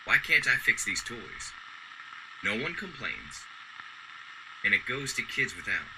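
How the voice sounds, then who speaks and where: An actor playing a gentle, earnest, plain-spoken working man in a radio drama. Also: mid-range voice, very animated, measured pace, background noise. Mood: indignant. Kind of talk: golden-age radio drama acting.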